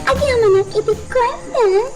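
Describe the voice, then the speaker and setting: A woman playing a sorrowful, longing woman in a radio drama, with a plaintive, halting delivery. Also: very high voice, music in the background. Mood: aching. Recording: noisy background ambience. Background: clear ambient noise.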